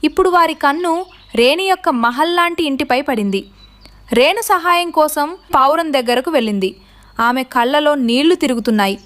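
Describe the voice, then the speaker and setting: A woman's voice: sits high, lively intonation, brisk, fairly bright tone. A woman narrating a scripted story for children.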